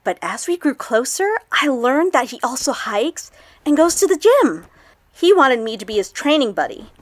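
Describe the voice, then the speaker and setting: A young woman confiding in her vlog audience in an audio drama. Her voice is on the high side, girlish and expressive, with an awkward, excitable streak. The sound is dry, with no room around it. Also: normal speed, no room sound. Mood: chatty, troubled.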